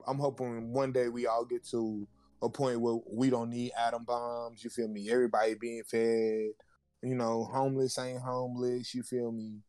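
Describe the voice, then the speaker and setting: A man in casual podcast chat, animated and slangy, who keeps checking in with his listeners and laughs between points. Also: medium pitch, normal speed, smooth, dry recording, faint music behind.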